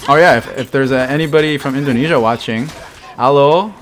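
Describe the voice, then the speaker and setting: A man's voice: mid-range voice, brisk. An American man in his thirties, chatting casually while streaming a game and answering his chat, laughing often. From United States. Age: 30s. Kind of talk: casual live-stream commentary.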